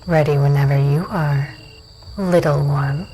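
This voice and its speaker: A woman playing a confident, teasing dusk elf warrior with a cool, creaky, commanding delivery in a fantasy audio drama. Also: low voice, steady tone, measured pace, mellow, faint music behind, poor audio. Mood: amused taunting.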